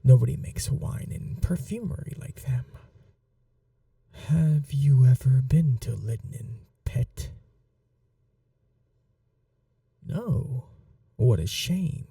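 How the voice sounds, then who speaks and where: A woman playing an aristocratic, possessive vampire mistress in a teasing, quietly menacing, breathy, intimate ASMR roleplay, often dropping to a whisper. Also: rumbling low, lively intonation, no echo, clean studio sound, distant mic, full character voice. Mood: languid, coaxing. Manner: slow, languid, condescendingly affectionate. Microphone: close microphone, dry.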